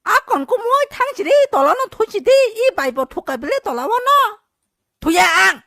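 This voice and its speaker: A woman voicing an excitable, squeaky cartoon character with an animated, exclamatory delivery in a dub. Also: normal speed, no echo, clean studio sound, heavily acted. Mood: excited chatter. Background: faint music.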